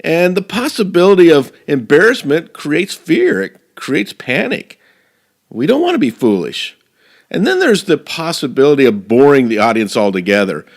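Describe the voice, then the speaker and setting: A man's voice: on the high side, sing-song, moderate pace. A man giving an earnest speech to a speaking club, often restarting his phrases. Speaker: professional speaker.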